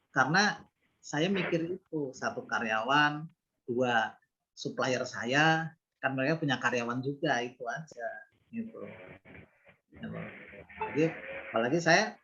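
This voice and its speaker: A man's voice: medium pitch, takes it slowly, pauses often, bright, forceful tone, muffled recording. A man in his fifties, a business owner, explaining his views earnestly in an interview, often asking rhetorical questions. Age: 50s. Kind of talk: reflective interview talk.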